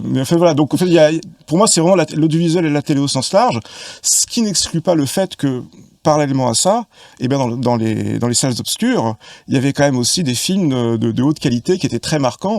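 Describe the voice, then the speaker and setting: Gravelly man explaining at length. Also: mid-range voice, a little mellow, dry recording. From Paris, France. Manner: long reflective explanations with many hesitations. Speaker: podcast guest, film expert. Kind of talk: casual conversation.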